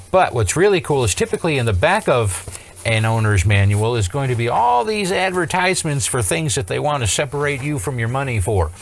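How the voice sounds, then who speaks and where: A man with a medium-low voice talks through a hands-on demonstration as the presenter. His delivery is informal and explanatory, sprinkled with dry, wry asides, and sounds unscripted. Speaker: host, electronics repair tutorial presenter.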